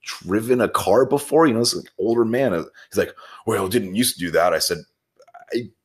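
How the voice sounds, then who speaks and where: A man's voice: lowish voice, brisk, slightly rough. A man chatting casually as a podcast host, telling rambling anecdotes and acting out both sides of conversations. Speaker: podcast host, shop foreman and transmission technician. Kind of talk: casual chat, storytelling.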